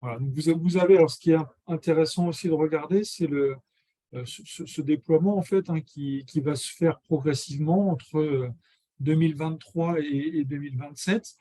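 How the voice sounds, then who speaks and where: Mellow, higher-voiced man presenting haltingly. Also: fairly even intonation, no echo. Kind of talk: explanatory presentation.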